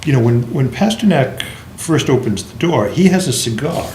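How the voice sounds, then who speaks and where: A man's voice: medium pitch, big pitch swings, normal speed, a little mellow. A man offering tentative, hedging feedback in a casual group discussion. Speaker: writers' group member. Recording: echoey room, faint noise.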